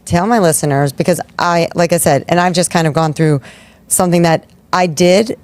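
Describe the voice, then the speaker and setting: A woman's voice: fairly low pitch, moderate pace, darkish tone. A woman in her forties hosting a podcast interview, effusive and enthusiastic, affirming her guest often. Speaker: podcast host. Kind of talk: interview conversation.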